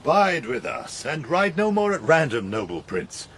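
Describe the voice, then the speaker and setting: A hospitable noble lord, speaks with courtly formality in a dramatic tale. His voice is very rough and raspy, with wide swings in pitch. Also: wildly swooping pitch, measured pace, a bit bright, full character voice. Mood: welcoming.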